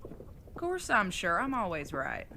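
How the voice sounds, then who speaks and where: A woman's voice: medium pitch, wildly swooping pitch, measured pace, noisy background. A woman playing an older retired outlaw mother in an audio drama, folksy, plain-spoken and teasing, with affection underneath. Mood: reassuring, frank.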